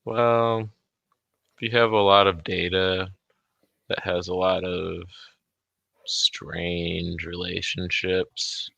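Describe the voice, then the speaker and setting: A man's voice: sits low, level tone, very slow, vocal fry, pauses often, fairly bright tone, no room sound. A man in a casual chat, explaining things offhandedly, self-deprecating and swearing casually. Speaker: guest, software developer.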